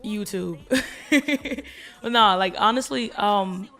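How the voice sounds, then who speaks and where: A woman's voice: medium pitch, takes it slowly, fairly bright tone. A young woman with family from Trinidad, chatting as a music guest on a show, laughing often. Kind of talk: casual conversation.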